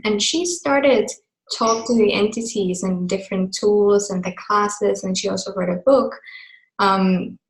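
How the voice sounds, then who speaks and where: A woman from Finland hosting a group video call, talking casually with many fillers and asides. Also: mid-range voice. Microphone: computer mic on a video call. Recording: echoey video call audio.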